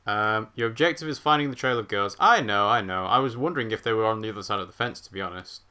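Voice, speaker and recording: A man's voice: fairly low pitch, fast talker, bright, forceful tone, smooth. A man giving casual live game commentary as a streamer, chatty, thinking aloud as he plays. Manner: chatty, reacting aloud and reading chat. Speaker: let's play streamer.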